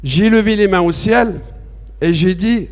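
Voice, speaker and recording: A man from France giving a personal testimony at a public debate, halting and repetitive at first, then impassioned and emphatic. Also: high-pitched. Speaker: association president speaking at a public debate. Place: debate hall with audience. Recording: long reverb of a large hall.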